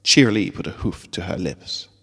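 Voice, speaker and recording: A man narrating a scripted story in an expressive, dramatic way. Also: low voice, moderate pace, slightly rough, long reverb tail. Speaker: fan fiction reader.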